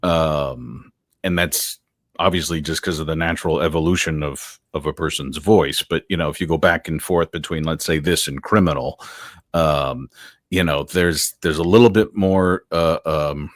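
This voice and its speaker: A man's voice: extremely low pitch, normal speed, no echo, clean studio sound. A male interviewer asking long, thoughtful questions in a casual, winding way.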